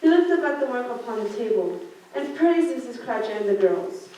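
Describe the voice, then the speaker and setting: A woman's voice: on the high side, expressive, a little fast, mellow, slight background noise. Echoey young woman narrating, far from mic. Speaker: narrator in a student radio play. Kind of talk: scripted story narration, read from a page. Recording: very echoey room, distant microphone.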